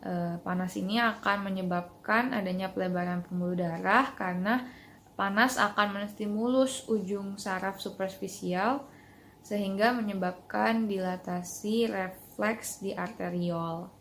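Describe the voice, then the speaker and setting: A woman's voice: mid-range voice, measured pace, fairly bright tone. A young woman, a student explaining in a class presentation. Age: young adult. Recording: clear background noise.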